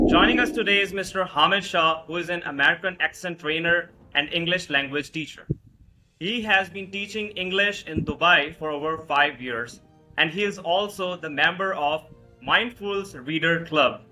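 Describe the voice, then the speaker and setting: A man's voice: on the high side, normal speed, bright, forceful tone, soft background music, rough recording. A man hosting a podcast, introducing a guest and asking questions in a formal way with hesitant fillers. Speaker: podcast host.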